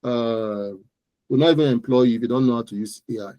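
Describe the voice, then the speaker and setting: A man's voice: mid-range voice, fairly quick, dark tone, muffled recording. A man with a Nigerian accent, explaining things as an expert interview guest in an emphatic, instructive, conversational way, often repeating phrases.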